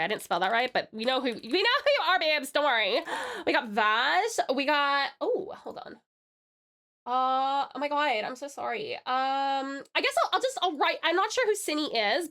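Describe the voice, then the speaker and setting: A woman's voice: sits high, sharp tone. A woman hosting a chatty livestream in a put-on, exaggerated California Valley Girl accent, gushing and giggly with sugary pet names for viewers. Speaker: livestream host.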